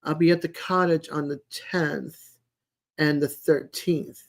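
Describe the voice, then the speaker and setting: A woman in her sixties chatting casually as a live stream host, in a rambling, chatty way with frequent pauses. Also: sits low, fairly even intonation. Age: 60s. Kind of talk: casual chat with live viewers.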